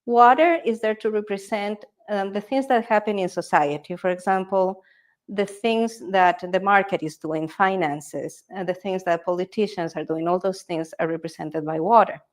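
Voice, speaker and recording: A woman speaks at an ordinary middle pitch. She presents a live workshop as a feng shui consultant, keeping her tone conversational and often checking in with her listeners. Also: moderate pace. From Ecuador. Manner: conversational, checks in with tag questions.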